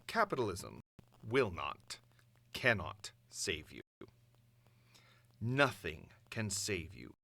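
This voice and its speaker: A man playing an imperious, contemptuous comic-book tyrant in his natural voice, declaiming a speech with deliberate emphasis. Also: lowish voice, sharp tone, no echo, heavily acted. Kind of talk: dramatic speech performed in own natural voice.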